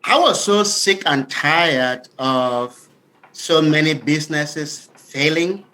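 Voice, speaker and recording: Male interview guest from Cameroon with that accent, bright and crisp-edged, instructive and sincere. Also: fairly high pitch, slow. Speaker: podcast guest, business author. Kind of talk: explanatory interview talk.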